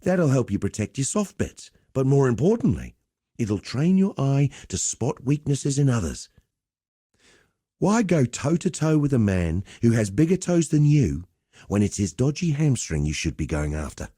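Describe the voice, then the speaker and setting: An actor playing a roguish, cheerful veteran pit fighter in a video game, talking in a colloquial, wry, swaggering storyteller's way. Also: male voice, mid-range voice, very animated, moderate pace, mellow, husky, no room sound, studio quality. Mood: wry mentoring. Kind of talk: scripted game dialogue.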